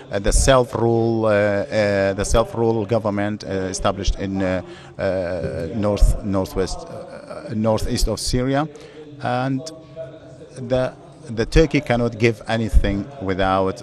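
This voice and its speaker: Male moderator with a very deep voice, halting, stumbling questions. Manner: halting, many fillers and restarts. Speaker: panel moderator. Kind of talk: moderating a panel discussion.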